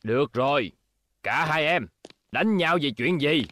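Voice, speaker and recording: Hesitant old schoolteacher. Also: male voice, a little high, animated, unhurried, a bit bright, dry recording. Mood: hedging, placating. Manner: hesitant, hedging. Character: elderly male schoolteacher, evasive and comically noncommittal. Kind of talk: Vietnamese cartoon dub.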